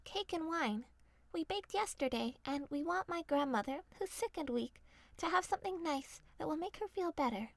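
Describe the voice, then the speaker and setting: Lady doing a little storybook girl, sweet n naive, high polite voice, dry close sound. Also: animated, normal speed, gravelly, no echo. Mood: polite, trusting. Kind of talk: fairy tale storybook voice.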